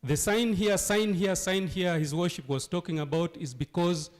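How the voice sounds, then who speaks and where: Hoarse man giving an emphatic town hall speech. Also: sits high, moderate pace. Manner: emphatic, rhetorical questions, repeats key phrases. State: hoarse from flu and cough. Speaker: local leader addressing a town hall. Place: meeting hall.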